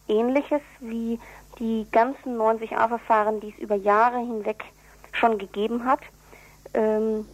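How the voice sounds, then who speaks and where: A woman being interviewed on radio, explaining things in an earnest, deliberate way. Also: mid-range voice, a little mellow, no room sound, poor audio. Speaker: interviewee, activist for prisoners' relatives newsletter.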